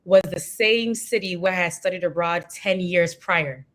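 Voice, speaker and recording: A middle-aged woman from California, a guest in a casual interview, talking in a reflective, measured way. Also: lowish voice, fairly bright tone. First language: Igbo.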